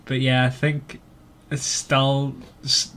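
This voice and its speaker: A man from Northern Ireland with a Northern Irish accent hosts a casual book discussion, talking in a halting way and often repeating words. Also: medium pitch, completely flat delivery, a bit bright, slight background noise. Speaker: podcast host.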